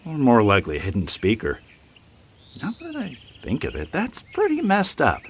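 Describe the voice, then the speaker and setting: An actor playing a practical, skeptical husband with a matter-of-fact manner in a horror audio drama. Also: male voice, medium pitch, huge pitch swings, normal speed, background noise.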